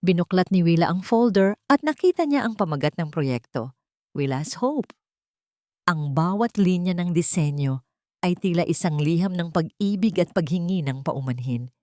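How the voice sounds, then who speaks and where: A woman's voice: lowish voice, animated, moderate pace, darkish tone, dry recording, clean studio sound. A woman with a Filipino accent reading a scripted romantic drama story as its narrator.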